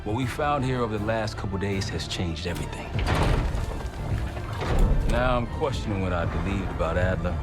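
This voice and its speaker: An actor playing a weary CIA field operative, giving measured, reflective narration for a video game cutscene. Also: male voice, sits low, natural voice. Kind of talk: scripted cinematic narration. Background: loud music.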